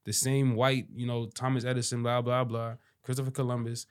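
A man's voice: mid-range voice, level tone, a little fast, clean tone. A man from Boston co-hosting a podcast, talking loosely with lots of fillers and arguing his point. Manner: loose, filler-heavy, argumentative. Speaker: podcast co-host. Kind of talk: casual discussion.

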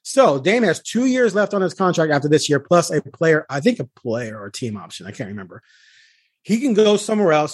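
A man hosting a casual sports podcast, laying out his arguments point by point in an animated way. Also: on the high side, dry recording, clean studio sound. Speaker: sports podcast host, beat reporter.

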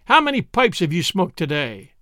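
A man's voice: high-pitched, wildly swooping pitch, normal speed, sharp tone, dry recording, clean studio sound, voice pushed up, exaggerated voice. A man voicing a young soldier who scolds and jeers at the camp cook. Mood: jeering. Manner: scolding, jeering.

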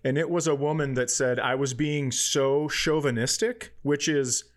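A man's voice: medium pitch, fairly even intonation, a little slow. A man talking as a comedian on a podcast, casual and opinionated, ranting with heavy swearing.

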